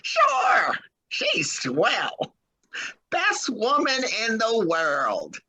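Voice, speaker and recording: An actor playing an eager young husband in an old-time radio drama, bubbly and stammering. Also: male voice, high-pitched, huge pitch swings, drawn-out words, sharp tone, slightly rough, dry recording, exaggerated voice. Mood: cheerful.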